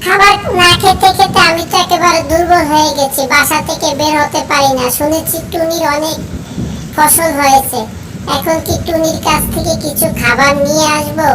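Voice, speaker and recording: A woman's voice: high-pitched, steady tone, normal speed, noisy background, close to an everyday voice. A woman voicing a hungry, humbled talking bird in a fairy-tale cartoon, pleading for food. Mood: miserable, worried.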